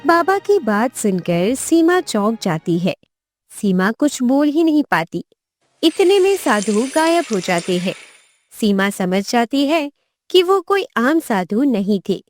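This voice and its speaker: Slow, lively high-voiced woman storyteller. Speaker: narrator. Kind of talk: scripted storytelling narration for Hindi cartoon.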